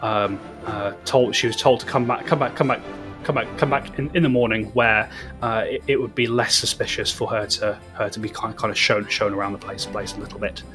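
A man's voice: on the low side, steady tone, fairly quick, music in the background. A man running a tabletop game as game master, narrating the scene with many repeats and restarts. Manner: stammering, repeats words, self-deprecating.

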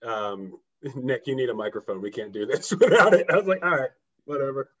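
Slightly dull, lo-fi remote audio carries a man talking as a podcast guest. He is informal and laughs easily, with a mid-pitched voice. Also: expressive, fairly quick, a bit bright. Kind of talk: casual chat. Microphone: USB microphone on remote call.

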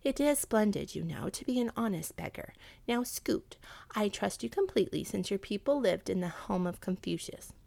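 Woman as a sly, creaky-voiced, haughty storybook cat. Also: mid-range voice, animated, moderate pace, mellow. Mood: commanding, sly. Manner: purring, hissing, scornful, commanding. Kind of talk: children's animal storybook character.

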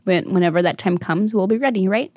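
A woman's voice: medium pitch, brisk, a little mellow, dry recording, studio quality. A woman in her thirties hosting a casual chat with her daughter, affectionate and motherly, laughing often. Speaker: podcast host and mother.

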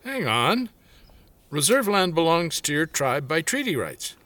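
A man's voice: fairly high pitch, sing-song, a little slow, bright, forceful tone, no room sound, exaggerated voice. A man playing an earnest middle-aged dad. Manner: earnest, hesitant. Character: earnest middle-aged farmer father, well-meaning.

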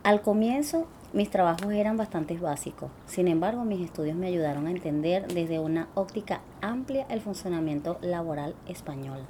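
A young woman with a Venezuelan accent reads her memoir in a measured, deliberate way. Her voice sits low and mellow. A faint noise runs under the recording. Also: animated. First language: Spanish. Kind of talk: reading a personal memoir.

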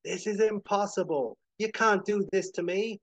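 Guy doing a mocking evil villain, loud raised voice, theatrical taunts, rpg bad guy. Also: high-pitched, normal speed, pitched above the performer's own voice. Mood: desperate rage. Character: sneering, menacing male villain, mocking and vengeful.

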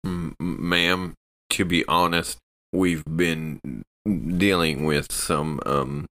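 Man playing a deep-voiced, wary space crewman. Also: little pitch movement, a bit bright, heavily acted. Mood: halting, deferential. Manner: halting, polite but suspicious. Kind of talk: improvised tabletop role-play.

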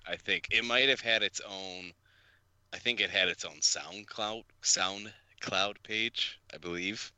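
A man's voice: lowish voice, slow pace, sharp tone, clean tone, no room sound. A man co-hosting a podcast in casual chat, hesitant and often repeating his words. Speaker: podcast co-host.

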